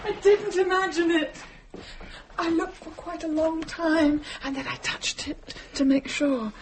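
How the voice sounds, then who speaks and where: A woman's voice: very high-pitched, fairly even intonation, unhurried, slight background noise, low-quality recording. An actress playing a frightened, insistent woman in a radio horror play, speaking in a haunted, adamant way. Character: frightened, insistent woman who saw an apparition.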